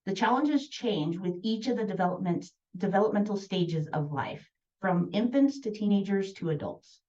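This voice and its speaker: A woman from the United States, a parent of two children, reading a prepared statement in a steady, composed way. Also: medium pitch, normal speed, distant mic, muffled recording.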